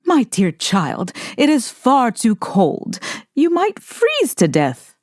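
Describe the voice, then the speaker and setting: A woman's voice: fairly high pitch, wildly swooping pitch, slow, no echo, studio quality, exaggerated voice. A woman voicing a scheming middle-aged stepmother in a storybook, cautioning and controlling. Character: scheming middle-aged mother, wicked fairy-tale stepmother.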